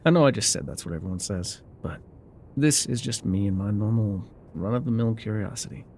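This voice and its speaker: Casting: male actor as weathered explorer-guide for ASMR roleplay, low-pitched with gravelly fry, wry and mysterious, musing hesitantly. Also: fairly quick, darkish tone, slight background noise, lightly acted. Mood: reflective, wry. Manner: musing, hesitant, teasing, trailing off. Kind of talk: intimate ASMR roleplay acting.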